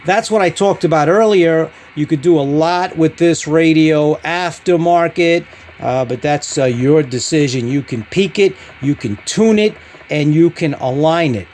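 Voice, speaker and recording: A man presents a product review as a podcast host. His voice sits a little higher than most men's, and he delivers information in short, clipped, halting phrases. A faint layer of background noise runs under the recording. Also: a little mellow.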